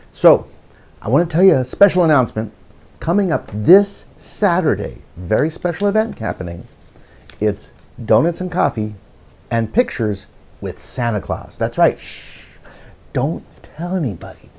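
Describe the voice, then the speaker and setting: Children's storytime host, male, husky voice, highly animated pitch, emphatic delivery aimed at little kids. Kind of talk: expressive children's story reading and friendly announcements to kids.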